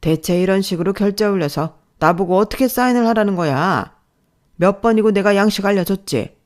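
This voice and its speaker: Woman doing a sneering, shouting office boss. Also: lowish voice, animated, moderate pace, lightly acted. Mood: contemptuous scolding. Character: harsh insulting office manager who berates staff.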